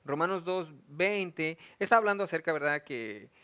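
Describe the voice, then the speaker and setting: Slightly high-voiced man discussing scripture. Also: animated, moderate pace, pushed and bright, smooth, highs cut off. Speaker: religious programme co-host. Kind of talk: informal Bible discussion with scripture reading.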